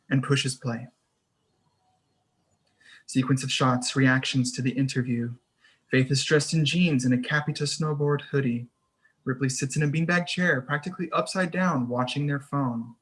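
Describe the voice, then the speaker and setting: A man's voice: on the high side, a bit bright, slightly rough. A man reading stage directions as the narrator of a screenplay table read.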